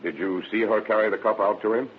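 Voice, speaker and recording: An actor playing a confident, dogged sheriff in an old radio drama, firm and matter-of-fact. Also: male voice, on the low side, steady tone, quick pace, a little hiss or hum, close to an everyday voice. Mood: polite, probing. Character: confident, dogged male sheriff, brisk and authoritative. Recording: tinny narrow-band vintage radio recording.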